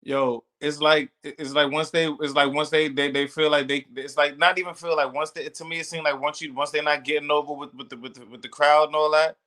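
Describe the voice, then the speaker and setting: A man's voice: fairly high pitch, little pitch movement, brisk, hardly any pauses, sharp tone. A man co-hosting a casual podcast in heavy slang, often repeating his phrases for emphasis. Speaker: podcast co-host.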